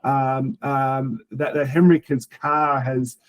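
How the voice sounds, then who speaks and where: A man's voice: mid-range voice, flat delivery, slow. A man hosting a business webinar who introduces the guests in a rambling way with many fillers. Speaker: webinar host.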